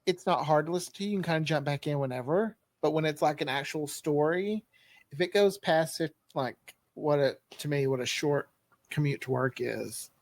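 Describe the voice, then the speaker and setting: A man's voice: on the high side, expressive, moderate pace, no room sound. A man from the United States chatting casually as a podcast co-host. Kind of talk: casual chat.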